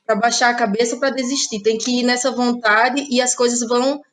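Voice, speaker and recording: High-voiced woman. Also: fairly even intonation, fairly quick, a bit bright. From Ceará, Brazil. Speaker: interviewed playwright and actress. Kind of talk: conversational personal account.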